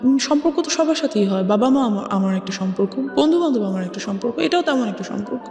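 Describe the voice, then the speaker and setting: A woman's voice: on the high side, animated, fast talker, dark tone. A woman with a Bangladeshi accent telling a personal story as a guest in a conversational way, often switching into English. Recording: echoey long reverb, music bed. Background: background music.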